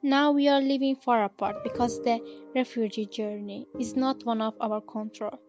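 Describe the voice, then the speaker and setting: A woman's voice: fairly high pitch, steady tone, moderate pace, darkish tone. A young woman who is a refugee, sincerely telling how she lived through the lockdown. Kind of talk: personal testimony. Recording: dull lo-fi voice over music.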